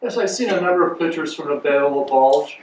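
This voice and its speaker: A man's voice: fairly high pitch, fast talker. A man in the audience casually asking the speaker questions. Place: indoor meeting room, among audience. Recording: distant mic, echoey room, faint noise.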